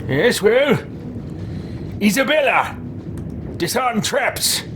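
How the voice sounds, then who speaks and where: A man's voice: very high-pitched, sing-song, slow, full character voice. A man roleplaying a haughty, impatient mage over a video game, imperious and theatrical in manner. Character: haughty, impatient adult male mage who orders companions around. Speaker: let's-play roleplayer. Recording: loud game noise behind voice. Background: loud ambient game noise.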